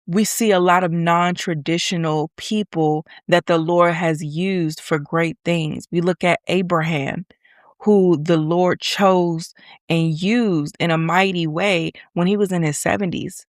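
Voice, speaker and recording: A woman's voice: on the low side, steady tone, dry recording, studio quality. A woman in her thirties hosting a faith podcast, a little congested from allergies, talking in an earnest, encouraging way. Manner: earnest and encouraging, sometimes slow and emphatic. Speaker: podcast host. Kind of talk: faith-based encouragement monologue.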